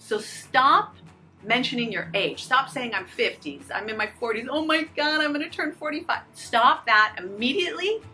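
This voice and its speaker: A woman's voice: fairly high pitch, bright, forceful tone. A woman in her fifties talking casually to the camera, upbeat and encouraging, speaking straight to her viewers. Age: 50s. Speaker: fitness and lifestyle YouTuber.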